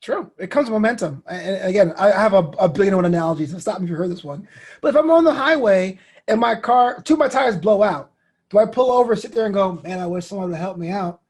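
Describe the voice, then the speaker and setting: A man in his thirties talking casually as an interview guest, telling animated stories with slang and acted-out quotes. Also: high-pitched, fast, breathy edge. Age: 30s. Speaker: interview guest, DJ, comedian and host.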